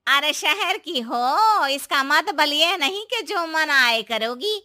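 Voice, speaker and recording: A woman's voice: high-pitched, lively intonation, slow pace, sharp tone, no room sound, studio quality, heavily acted. A woman voicing a stern, old-fashioned village mother-in-law in a cartoon story, nagging and indignant. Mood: scolding. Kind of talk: cartoon moral story voice acting.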